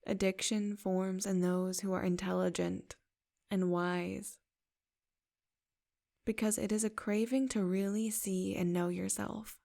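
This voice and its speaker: Brief: woman, meditation guide, very slow delivery, gravelly fry, soft affirming tone. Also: medium pitch, little pitch movement.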